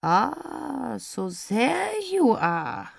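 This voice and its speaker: Woman voicing an emphatic, excitable old lady, pitched up. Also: extremely slow, gravelly, no room sound, studio quality, exaggerated voice. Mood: delighted, amazed. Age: 80s. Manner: emphatic, formal, stilted phrasing without contractions. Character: blunt, prickly eighty-two-year-old German woman, excited.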